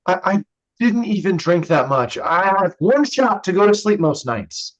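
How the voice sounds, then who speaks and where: A man playing a troubled young man struggling with alcohol, halting and raw, in a staged play reading. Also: high voice, very animated, muffled recording, heavily acted. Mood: defensive.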